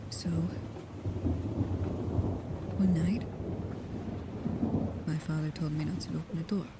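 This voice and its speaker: Woman playing a low, soft-spoken guarded vampire. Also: steady tone, takes it slowly, very noisy. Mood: somber, confessing. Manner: soft, hesitant, often dropping into whispers. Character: guarded, protective female vampire with a painful past. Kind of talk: slow, intimate ASMR roleplay monologue.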